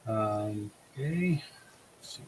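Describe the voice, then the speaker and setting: A man's voice: lowish voice, animated, takes it slowly, a little mellow, rough recording. A man hosting an online drawing show, chatting casually as he shows artwork. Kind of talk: casual chat while showing artwork. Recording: clear background noise.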